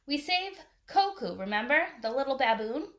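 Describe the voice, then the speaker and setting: A confident, adventurous young girl from a children's storybook, voiced by a woman reading aloud. She sounds keen and insistent, stating things plainly in a fairly high voice. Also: sing-song, normal speed.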